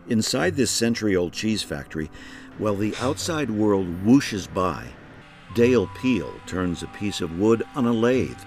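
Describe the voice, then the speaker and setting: A man narrates a travel documentary in scripted phrases. His voice sits fairly low, with music clearly playing behind it. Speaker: narrator of a regional documentary show.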